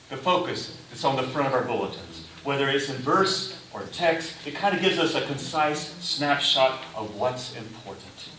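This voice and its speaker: Echoey, slow-paced man preaching. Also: medium pitch, bright, forceful tone. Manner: deliberate, short phrases with pauses. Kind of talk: sermon. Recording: roomy echo, distant mic, faint hiss.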